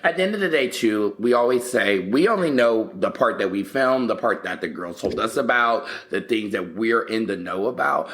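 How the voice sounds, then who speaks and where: A man's voice: on the low side, lively intonation, normal speed, hardly any pauses, a bit bright. A man co-hosting a podcast, chatting casually in a slangy way as he recaps reality TV with his co-host.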